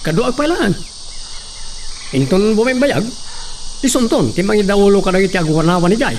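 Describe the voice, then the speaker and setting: An actor playing a sly hacienda foreman who flatters his master. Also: high-pitched, normal speed. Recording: distant mic, faint noise.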